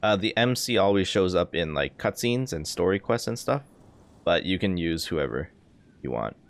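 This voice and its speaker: A man streaming a video game, giving casual, low-key, matter-of-fact live commentary. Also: expressive, normal speed, creaky. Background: game music.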